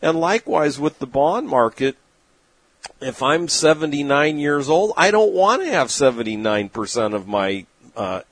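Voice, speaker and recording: A middle-aged man, an investment manager, giving plain-spoken, opinionated market commentary with folksy turns of phrase and frequent pauses. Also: a little high, animated, a bit bright, no echo. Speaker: investment manager and newsletter writer. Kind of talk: direct-to-camera market commentary.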